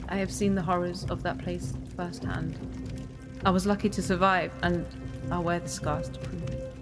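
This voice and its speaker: A woman playing a solemn, fatalistic survivor of an alien temple in an audio drama, speaking gravely and formally. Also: fairly low pitch, little pitch movement, normal speed, over music, lightly acted.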